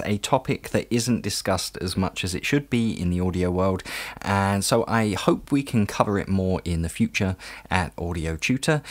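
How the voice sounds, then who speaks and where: A man narrating a casual audio production tutorial, explaining things in an informal, chatty way. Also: on the low side.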